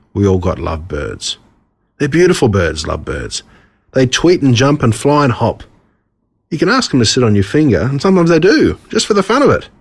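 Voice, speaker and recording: Husky Australian man, animated storyteller. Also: medium pitch. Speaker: author reading his own stories. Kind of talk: scripted storytelling narration.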